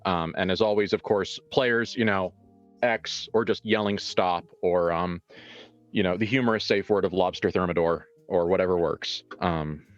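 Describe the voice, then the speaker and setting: A man narrating as game master of a tabletop game, with halting, deliberate phrasing. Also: low-pitched. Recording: dull audio with music underneath.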